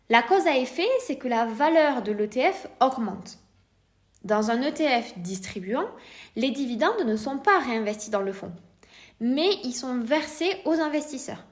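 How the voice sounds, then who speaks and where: A woman with a French accent hosting a podcast, explaining in a scripted, didactic way while addressing the listener informally with rhetorical questions. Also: fairly high pitch. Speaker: podcast host, private investor.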